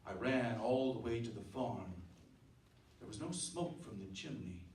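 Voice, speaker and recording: A man acting a weary, grim survivor who narrates an old-time radio drama in a measured, dramatic way. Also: medium pitch, expressive, darkish tone, close to an everyday voice. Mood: grim, tense. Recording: echoey distant stage recording, clear background noise.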